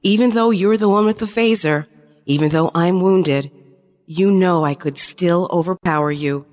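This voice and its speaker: A woman's voice: lowish voice, normal speed, a little mellow, lightly acted. A woman playing a shapeshifting alien spy in an audio drama, a conflicted lover pleading her case earnestly. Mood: regretful, firm. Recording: long reverberant hall sound.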